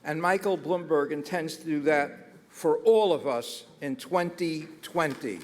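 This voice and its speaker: A man, a rabbi, giving a solemn, deliberate speech with the cadence of a sermon. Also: on the high side, background noise. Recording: reverberant hall.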